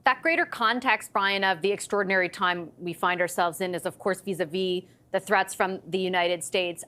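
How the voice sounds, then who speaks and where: A woman's voice: lowish voice, steady tone, moderate pace, pushed and bright. A woman with a Canadian accent hosting a live TV news discussion in a conversational, engaged way. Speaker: host of a political panel show.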